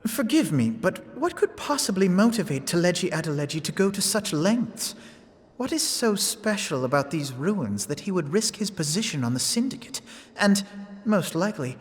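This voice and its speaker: A man's voice: high voice, big pitch swings, moderate pace, slightly rough, full character voice. An actor playing an earnest, inquisitive young male scholar who asks polite questions in formal speech, in a game cutscene. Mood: inquiring. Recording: music score underneath.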